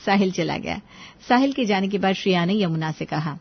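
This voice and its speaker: A woman's voice: medium pitch, expressive, fairly quick, creaky, slightly rough, highs cut off. A woman reading a scripted family story as its narrator.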